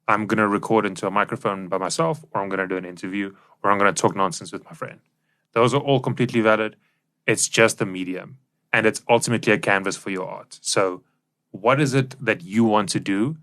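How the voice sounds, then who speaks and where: Low-voiced man, casual co-host. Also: fairly bright tone. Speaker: podcast co-host. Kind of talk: casual conversational advice.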